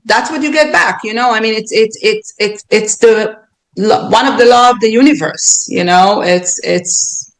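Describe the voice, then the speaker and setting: Earnest Israeli woman, halting speech. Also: fairly high pitch. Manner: earnest, halting, often restarts and repeats words. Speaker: podcast guest. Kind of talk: reflective interview talk.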